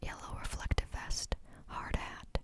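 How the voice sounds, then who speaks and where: A woman reading a book aloud in a soft, unvoiced whisper, steady but sometimes stumbling on a word and starting it again. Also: a little slow. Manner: reads steadily, stumbles and restarts on words. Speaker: reader.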